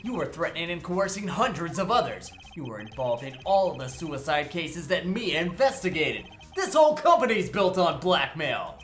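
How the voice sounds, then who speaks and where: Man playing a brash, animated young lawyer, roomy sound. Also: a little high, moderate pace, hardly any pauses. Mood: accusing. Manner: sarcastic, expressive, slangy. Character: brash, sarcastic young male defense attorney, excitable. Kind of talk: comedic fan dub of a courtroom video game. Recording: roomy sound with faint music.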